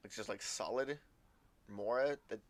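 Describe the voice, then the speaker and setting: A man giving casual live gameplay commentary in a halting, rambling way, often repeating words. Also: fairly low pitch. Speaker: game streamer. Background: faint game music.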